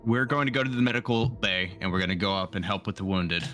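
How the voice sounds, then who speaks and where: Quick, bright-voiced man at game table. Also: on the low side, faint music behind. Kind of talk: casual in-game roleplay chat.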